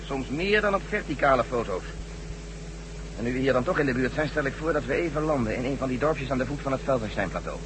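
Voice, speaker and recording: Man playing a general, very quick delivery, brisk and commanding, dry, science-fiction radio drama, noisy old recording. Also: medium pitch, lively intonation, lightly acted. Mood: brisk, composed. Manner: brisk, commanding, drily teasing.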